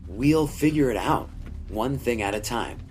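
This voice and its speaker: A man playing a wounded but steady and reassuring companion with dry self-deprecation in an audio drama. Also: mid-range voice, measured pace, slight background noise, natural voice.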